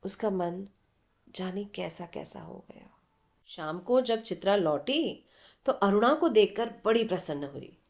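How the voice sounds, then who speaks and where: A woman reading a literary story aloud in an expressive, unhurried manner with dramatic pauses. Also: medium pitch. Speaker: story reader.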